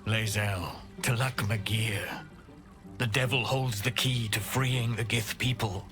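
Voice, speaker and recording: Grave, slow-spoken alien warrior. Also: male voice, lowish voice, steady tone, a bit bright, faint music behind. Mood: solemn, urgent. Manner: solemn, urgent, measured. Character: grave, desperate male alien warrior pleading for his people. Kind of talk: video game cutscene acting.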